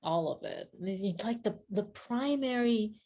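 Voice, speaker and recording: A woman's voice: mid-range voice, lively intonation, measured pace, mellow. A woman from Sri Lanka, a practice leader guest, speaking thoughtfully on a video call, searching for words and laughing easily. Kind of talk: reflective casual conversation.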